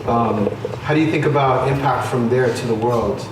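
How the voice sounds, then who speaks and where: A man moderating a panel, asking long questions with thoughtful, halting phrasing and many fillers. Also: medium pitch. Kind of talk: panel discussion. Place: lecture room on stage. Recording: very echoey room, distant mic, noisy.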